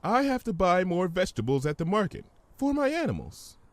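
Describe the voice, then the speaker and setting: A man voicing a timid, gentle young female pony in a fan fiction reading, polite, hesitant and soft-spoken. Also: sits high, huge pitch swings, fairly quick, dry recording, pitched above the performer's own voice, exaggerated voice. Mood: reluctant. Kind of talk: fan fiction audiobook character voice.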